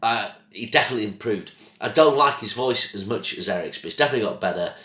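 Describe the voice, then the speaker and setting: Croaky-voiced man, bright and roomy. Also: on the low side, lively intonation, quick pace. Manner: rambling, loses track of names, apologetic. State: croaky from a throat infection, coughing. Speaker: album reviewer, channel host. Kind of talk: casual spoken review.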